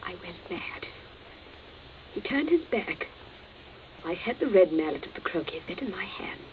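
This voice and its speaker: A woman's voice: on the low side, sing-song, normal speed. An actress playing a fragile, distraught grown daughter in a radio drama, faltering and pleading as she answers. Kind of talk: old radio drama melodrama. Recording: tinny, noisy old radio recording.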